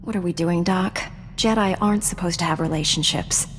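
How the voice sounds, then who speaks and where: An actress playing a principled, composed female knight in a sci-fi video game, measured and earnest. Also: fairly low pitch, in a large hall, slight background noise, lightly acted. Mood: earnest, wounded. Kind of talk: video game dialogue acting.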